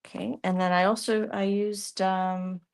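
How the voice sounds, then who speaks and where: A woman's voice: mid-range voice, little pitch movement, a little slow. Chatty Canadian woman demonstrating art live. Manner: chatty, thinks aloud while working, trails off mid-sentence. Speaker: art tutorial host. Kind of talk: live art demonstration, answering viewer chat.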